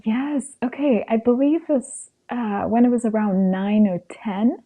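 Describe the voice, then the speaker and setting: A woman's voice: fairly high pitch, a little slow, narrow, tinny audio. A woman from Cameroon, a podcast guest, telling a personal story in a conversational way and acting out dialogue as she goes.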